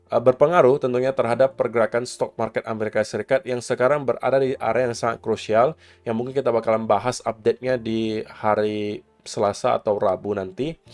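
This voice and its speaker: A man with a slightly hoarse, rough voice from a cough explains market data in a steady, casual way, speaking to his viewers as friends. He sits at a medium pitch, and faint music plays softly behind him. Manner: explains steadily, mixing English market terms. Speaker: crypto market commentator.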